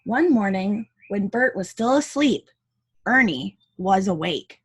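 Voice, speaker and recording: A woman reading a picture book aloud to young children as their teacher, with chatty asides and expressive dialogue. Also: medium pitch, slow, breathy edge.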